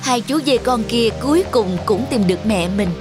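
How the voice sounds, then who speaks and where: A woman's voice: a little high, expressive, moderate pace, over music. A woman reading scripted narration for a children's fairy tale. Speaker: storyteller, narrator.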